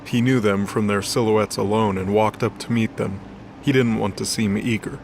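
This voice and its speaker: A man's voice: on the low side, steady tone, moderate pace, creaky, slight background noise. A man reading scripted story narration for an audio drama.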